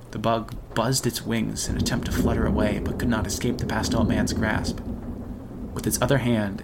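Male horror narrator for radio drama, lowish and gravelly, over clearly noisy atmosphere. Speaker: narrator and actor.

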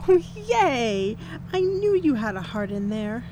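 A woman's voice: fairly high pitch, sing-song, slow, background noise. A woman acting as an eager, spirited young debutante in a 1930s radio thriller. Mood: excited, earnest.

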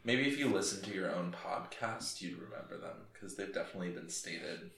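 A man's voice: low voice, animated, fairly quick, gravelly, a bit bright, reverberant. A man guesting on a podcast in casual chat, teasing the others in a dry, sardonic way.

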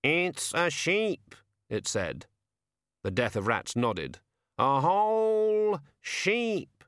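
A man's voice: medium pitch, wildly swooping pitch, slow, bright, forceful tone, no echo, studio quality, full character voice. A man voicing a grumbling, self-pitying talking raven in a storybook reading. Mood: amazed. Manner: whining, gloomy complaining.

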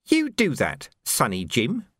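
A man's voice: mid-range voice, huge pitch swings, takes it slowly, fairly bright tone, no echo, studio quality, pitched above the performer's own voice, heavily acted. A man voicing a menacing, unhinged male sergeant who talks with sneering mock politeness.

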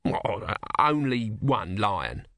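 A man's voice: a little high, big pitch swings, unhurried, pushed and bright, no room sound, studio quality, pitched above the performer's own voice, full character voice. A man voicing a bossy, cynical small creature who is sardonic, evasive and pragmatic in an audiobook story.

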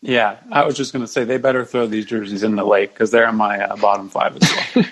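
A man's voice: on the low side, steady tone, quick pace, breathy edge. A man speaking as a guest in casual sports podcast conversation.

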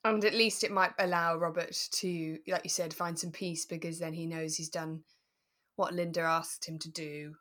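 Casting: female podcast co-host, lower register, thoughtful and tentative, casual conversational delivery. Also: level tone, normal speed, fairly bright tone. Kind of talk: relaxed casual discussion.

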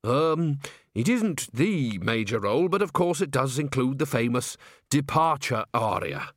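A man's voice: medium pitch, sing-song, measured pace, dry recording, studio quality. A man doing a stammering, flustered manager. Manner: hesitant, full of ums and uhs. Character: nervous stammering male theatre manager.

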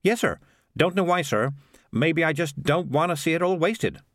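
A streetwise male cat with a sardonic, grumbling edge, a little higher than a plain man's voice, as a male narrator puts it on. He swings between mock politeness and flat sarcasm, in a lively storybook reading. The sound is close and dry. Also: moderate pace, no echo. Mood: wry, grumbling. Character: Morris, a cynical, sardonic streetwise male tomcat.

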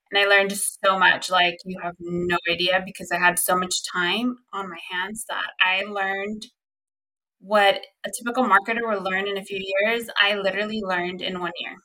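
A young woman hosting her own podcast, talking casually and earnestly with lots of "um" and "like," with a slightly squeaky voice. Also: bright, forceful tone, low-quality recording. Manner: chatty, earnest, lots of fillers like "um" and "like". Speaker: podcast host. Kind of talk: casual solo podcast talk.

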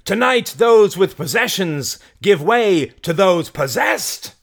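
Man voicing a shouting high-pitched fiery rebel woman. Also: expressive, slow, gravelly, full character voice. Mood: fervent, defiant. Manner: declamatory, shouted oratory. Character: fiery rebel woman rousing a mob, defiant, preaching. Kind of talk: audiobook character acting.

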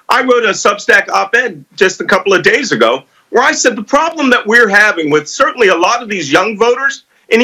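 A middle-aged man with a high voice speaks as a political commentator. His tone is bright and forceful, and he argues with emphatic assurance, like a seasoned guest on a discussion panel. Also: rough recording. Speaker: guest commentator with military experience.

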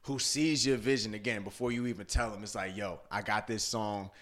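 A man's voice: lowish voice, fairly even intonation, brisk, a bit bright. A man co-hosting a podcast, chatting casually in slang and repeating phrases for emphasis. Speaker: podcast co-host.

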